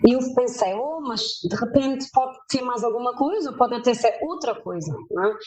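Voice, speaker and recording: A woman in her fifties, from Germany, speaking as a guest in an interview and telling her story haltingly with many filler sounds. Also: fairly high pitch, expressive, darkish tone, rough recording.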